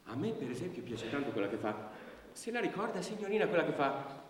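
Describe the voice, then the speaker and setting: An actor playing a pedantic, plodding police brigadier taking down a report in a theatrical, bureaucratic manner. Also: male voice, a little high, big pitch swings. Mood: chatty, singing. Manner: officious, pedantic, literal-minded, slow dictation voice when recording statements. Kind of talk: theatrical stage acting. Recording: very echoey hall from far off, noisy.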